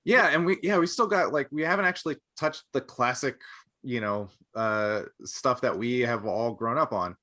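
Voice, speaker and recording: A man hosting a casual podcast discussion, thinking aloud in a halting way. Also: medium pitch, sharp tone. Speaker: podcast host.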